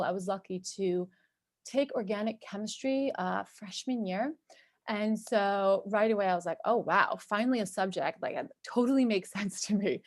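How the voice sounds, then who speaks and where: A woman's voice: mid-range voice, no room sound. Middle-aged woman guest, Turkish-speaking New Yorker, chatty and upbeat, close dry mic. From New York, USA. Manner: chatty, upbeat, many ums and likes. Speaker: guest, cosmetic chemist and entrepreneur. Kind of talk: casual interview storytelling.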